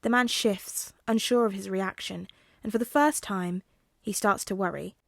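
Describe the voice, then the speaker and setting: A woman's voice: medium pitch, moderate pace, gravelly, no room sound, clean studio sound. A woman reading scripted fan fiction aloud as a story narrator.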